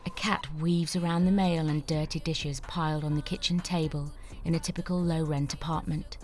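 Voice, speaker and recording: A woman's voice: low voice, fairly even intonation, moderate pace, slight background noise. Woman narrating screenplay directions. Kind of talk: scripted narration of stage directions.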